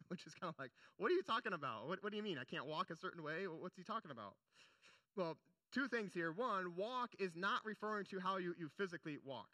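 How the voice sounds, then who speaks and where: Bright, high-voiced young male preacher. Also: lively intonation, a little fast, no echo. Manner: earnest, conversational preaching with asides. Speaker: pastor. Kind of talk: sermon.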